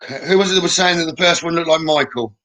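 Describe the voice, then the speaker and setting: A man hosting a live psychic reading stream, talking informally to viewers and thinking aloud in a halting way as he reads comments. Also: on the high side, sharp tone.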